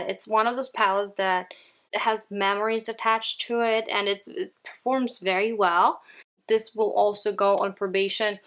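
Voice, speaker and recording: This is a woman with a Ukrainian accent, talking through her makeup in a relaxed, chatty way. Her pitch sits in a middle range, and she often speaks straight to her viewers. She is recording indoors at home. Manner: chatty, often addresses viewers as "you guys". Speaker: beauty vlogger. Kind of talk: casual makeup declutter chat.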